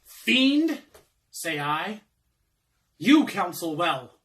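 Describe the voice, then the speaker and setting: A man's voice: high-pitched, big pitch swings, very slow, fairly bright tone, recorded from a distance, exaggerated voice. A man playing a comic, dithering young servant in a Shakespearean monologue, theatrically arguing between temptation and conscience.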